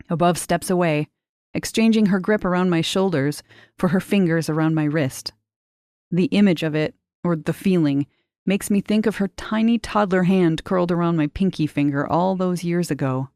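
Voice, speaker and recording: A woman reading a short story aloud in measured, expressive literary narration. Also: on the low side, dry recording, clean studio sound.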